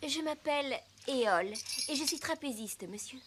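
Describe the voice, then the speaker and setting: A woman voicing a polite, gentle young circus trapeze girl in a French animated film. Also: sits high, moderate pace. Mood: apologetic, polite. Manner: polite, sincere. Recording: background noise with birdsong.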